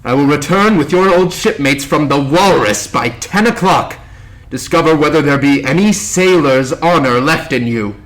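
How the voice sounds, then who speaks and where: An actor playing a menacing blind pirate villain in a theatrical drama, mock-polite, deliberate and threatening. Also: male voice, fairly high pitch, lively intonation, fairly bright tone, poor audio. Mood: cold menace.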